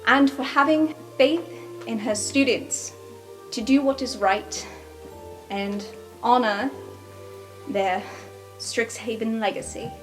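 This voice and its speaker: A woman playing an earnest student orator. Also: a little high. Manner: measured and formal. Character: earnest young woman student giving a speech. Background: soft background music.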